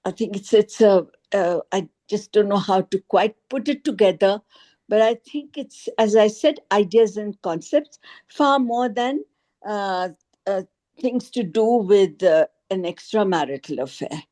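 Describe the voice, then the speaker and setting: A woman's voice: medium pitch, a little slow, dark tone. A woman in an informal group discussion on a video call, speaking hesitantly and searching for her words as a participant. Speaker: book club participant.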